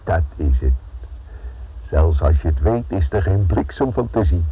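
An actor playing a brisk, self-satisfied gang member in a radio play, chatty and slangy, with a Netherlands Dutch accent. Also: male voice, sits low, animated, husky, full character voice. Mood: businesslike. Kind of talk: radio drama acting. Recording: noisy, narrow-band old radio recording.

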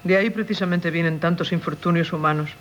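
A woman's voice: fairly low pitch, steady tone, fast, close to an everyday voice. An actress playing a melancholy married woman yearning for the sea, dramatic and wistful, in Castilian Spanish radio drama. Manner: dramatic, wistful, theatrical delivery. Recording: dull, noisy old radio broadcast.

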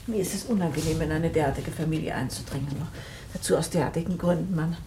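An actress playing a reserved, disapproving woman novelist in a radio drama, cool and measured. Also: deep voice, background noise. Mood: thoughtful, pitying. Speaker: radio play actor. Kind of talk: German radio drama acting, restrained.

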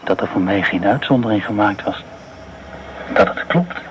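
An actor playing a haunted man who speaks in a slow, brooding monologue about blood and war, in a radio play. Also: on the low side, background noise, close to an everyday voice. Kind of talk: radio drama within a radio broadcast.

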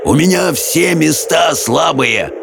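A man's voice: high voice, very animated, takes it slowly, gravelly, over music, pitched above the performer's own voice, heavily acted. A man voicing a hot-tempered, commanding wizard in an audiobook, roaring his words with dramatic, imperious emphasis. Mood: furious bellowing.

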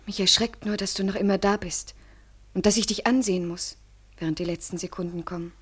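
An actress playing an anguished, submissive woman desperately in love, breathy, in an intense poetic radio play. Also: medium pitch, fairly even intonation, fairly quick, lightly acted. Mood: fearful, resigned.

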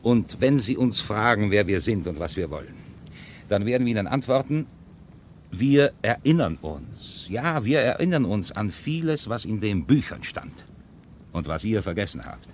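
An actor playing a steady leader of exiled book lovers in a 1970s German radio play, speaking in an earnest, measured, declamatory way. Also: male voice, on the low side, slight background noise. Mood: earnest, resolute.

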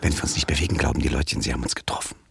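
A man playing a shrewd, cool, commanding gangster boss with a husky, gravelly voice in a radio drama. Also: rumbling low, very fast, loud music behind the voice, exaggerated voice. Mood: hushed, patient. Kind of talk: radio drama acting, retro gangster satire.

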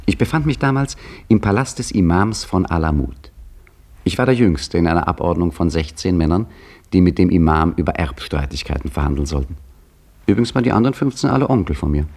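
A wry old caretaker spins his story in a dry, gently teasing way. His voice sits very low, with a gravelly crackle and a husky edge. It is 1950s radio drama storytelling. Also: lively intonation, brisk. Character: aging Egyptian embassy caretaker, wry and patient. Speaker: narrator and main character. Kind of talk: 1950s radio play acting.

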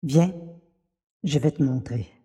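A woman as a low, mellow guide, very echoey. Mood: calm, explanatory. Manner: measured, explanatory. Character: calm young woman guide explaining her world's history. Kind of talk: French audio fiction acting. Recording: very echoey, reverberant room.

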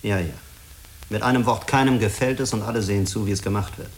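Radio play commander: fast, clipped and doubting, with light noise behind him. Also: male voice, lowish voice, vocal fry. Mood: curt, sceptical. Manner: curt, questioning, sceptical. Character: sceptical, blunt male spaceship commander. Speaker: actor in a radio drama. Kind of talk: German radio drama acting.

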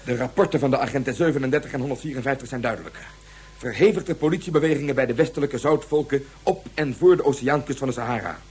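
A man's voice: medium pitch, quick pace, a little mellow, slightly rough, close to an everyday voice. A man in a radio play playing a ruthless space colonel with a clipped, commanding delivery. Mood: cold, commanding. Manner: clipped, authoritative military delivery.

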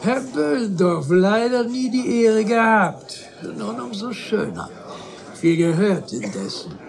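Pompous, high-voiced, creaky provincial gentleman. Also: very animated, crowd noise, heavily acted. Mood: effusively polite. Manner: effusive, rhetorical, with drawn-out pauses. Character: pompous, chatty provincial gentleman at a society gathering. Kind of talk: German radio drama acting.

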